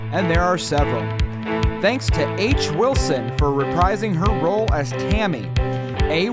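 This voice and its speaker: Female credits announcer, slightly low voice, scripted read over prominent music. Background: loud music, country-sounding.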